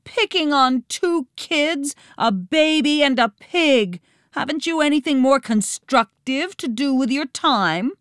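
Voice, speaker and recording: A woman's voice: fairly high pitch, sing-song, very slow, few pauses, mellow, no echo, studio quality, voice pushed up, heavily acted. A woman voicing a plucky, indignant young girl in a storybook, with a bold, scolding manner.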